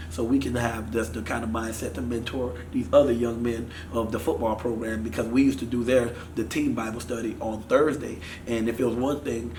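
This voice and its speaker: Animated man in an echoey studio. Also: mid-range voice. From Miami, Florida. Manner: animated, gestures while talking. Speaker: football offensive coordinator. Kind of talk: casual interview. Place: studio set with sponsor backdrop. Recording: echoey room with faint noise.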